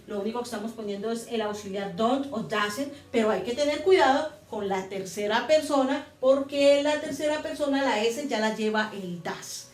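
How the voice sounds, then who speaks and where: A woman's voice: fairly high pitch, reverberant. A woman explaining English grammar step by step, patient and repetitive, like a teacher at a board. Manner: patient, repeats and spells out steps.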